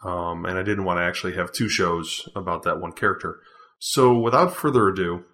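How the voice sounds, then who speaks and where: Deep-voiced man hosting a podcast. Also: a little fast. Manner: relaxed, with frequent ums. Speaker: show writer and producer, host. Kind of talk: podcast introduction.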